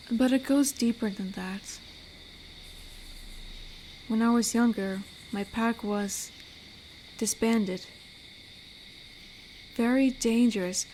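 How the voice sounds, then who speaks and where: A woman acts as an amiable, lonely werewolf guardian in an audio roleplay. Her voice sits a little high and moves very unhurriedly, soothing and reflective. Faint night-time cricket ambience sits behind her. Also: darkish tone, slight background noise, poor audio. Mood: wistful, vulnerable. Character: amiable, protective, lonely female werewolf, comforting and gentle. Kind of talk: intimate scripted audio roleplay. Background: night forest ambience with crickets.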